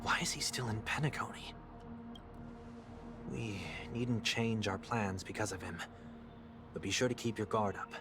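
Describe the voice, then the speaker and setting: An actor playing a courteous, melancholy young man in exile, speaking in a polite, measured, formal way in a video game. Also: lowish voice, over music, lightly acted. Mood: solemn, resigned. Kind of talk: scripted video game dialogue.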